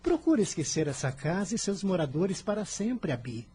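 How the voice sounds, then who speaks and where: A man's voice: high voice, sing-song, moderate pace, dark tone, slight background noise. A man playing an earnest, bold, righteous boy disciple in a radio drama, speaking in a formal, solemn and persuasive way. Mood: consoling.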